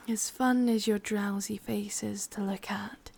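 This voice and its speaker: A woman acts out a sadistic, doting witch in an ASMR roleplay, with an English accent. The voice is mostly a breathy whisper, creaking at the edges and unhurried. She coaxes and commands softly, intimate and possessive. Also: medium pitch, steady tone, exaggerated voice. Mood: coaxing, softly commanding.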